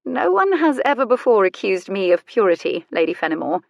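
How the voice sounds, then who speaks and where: A woman's voice: mid-range voice, sing-song, a little fast, creaky, dry recording, dull audio. A woman voicing a bold, worldly widowed courtesan in a storybook reading, composed, witty and playful. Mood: wry.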